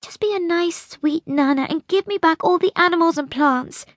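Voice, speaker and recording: A woman's voice: extremely high pitch, normal speed, no room sound, studio quality, pitched above the performer's own voice. A woman voicing a bitter, insistent young girl in an audiobook story, pleading and accusing with emphasis. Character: bitter, demanding young girl pleading with her great-grandmother.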